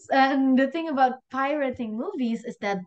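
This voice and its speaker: A woman's voice: sits high, a little mellow, highs cut off. A young woman with an Indonesian accent, hosting an online class in a casual, chatty and giggly way. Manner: chatty, giggly, self-deprecating. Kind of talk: casual chat. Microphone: video call.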